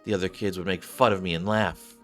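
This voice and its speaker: A man reading as a deep-voiced wistful young dreamer. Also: fairly even intonation, brisk, a bit bright, soft background music. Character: wistful young dreamer narrating their own story. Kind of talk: read-aloud scripted game narration.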